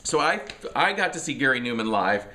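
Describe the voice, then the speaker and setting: A man's voice: mid-range voice, sing-song, a little fast, bright, forceful tone, soft background music. A middle-aged man, sniffly from allergies, chats casually and rambles about records at home, with lots of fillers and restarts. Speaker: music vlogger. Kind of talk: casual record collection chat.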